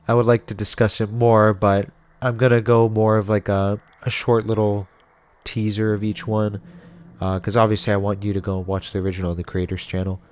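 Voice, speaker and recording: Low-pitched man, casual rambling commentary. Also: flat delivery, fairly quick, darkish tone. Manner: rambling, informal, conversational. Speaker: video host and reviewer.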